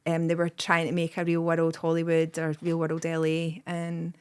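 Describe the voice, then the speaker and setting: A woman's voice: low voice, completely flat delivery, normal speed, darkish tone, clear, resonant tone. A Scottish woman in her thirties, a guest comedian rambling in casual podcast chat with plenty of "like" and "um". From Scotland. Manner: rambling, lots of "like" and "um", bursts of laughter.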